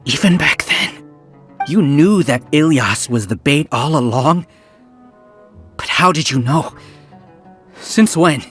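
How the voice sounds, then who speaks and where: A man's voice: on the high side, lively intonation, takes it slowly, pauses often, fairly bright tone, breathy edge, soft background music, exaggerated voice. A man voicing a bitter, resentful male scholar with a defensive way of talking. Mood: accusing. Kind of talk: game voice acting.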